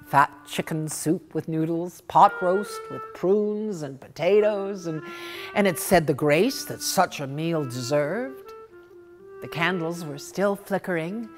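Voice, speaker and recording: A woman reads a short story in a theatrical, dramatic way. Her delivery is unhurried and her pitch moves widely. She hesitates on purpose and repeats words for effect. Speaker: reader of short stories. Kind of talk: theatrical, expressive literary story reading.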